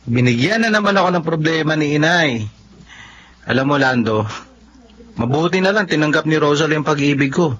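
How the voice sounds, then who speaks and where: A man's voice: a little high, lively intonation, normal speed, long pauses, a bit bright. A man playing an earnest, dutiful young working man in a radio drama. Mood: weary, firm. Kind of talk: Tagalog radio drama acting. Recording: dull, slightly noisy radio drama audio.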